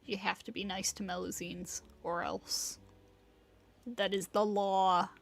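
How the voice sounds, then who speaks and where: Slow-paced woman streamer. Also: mid-range voice, flat delivery, gravelly. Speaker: game streamer. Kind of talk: casual live reaction commentary.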